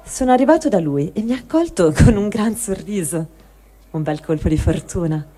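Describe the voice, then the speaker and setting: Woman reading someone's diary out loud like a stage piece, soft dark mellow voice. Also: mid-range voice, lively intonation, moderate pace. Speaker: reader of a translated testimony. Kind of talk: dramatic reading of a first-person diary.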